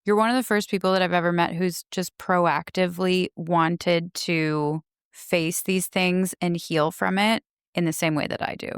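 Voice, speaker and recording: Podcast host woman, low pitch, vocal fry, smooth tone, dry sound. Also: fairly even intonation, normal speed, dry recording. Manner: admiring, gentle, effusive. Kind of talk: warm interview chat.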